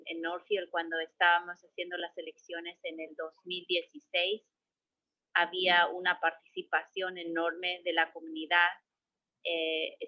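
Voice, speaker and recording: A woman's voice: fairly low pitch, lo-fi sound. A middle-aged woman from the State of Mexico, a guest answering questions hesitantly, with many fillers and restarts. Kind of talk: conversational interview answers.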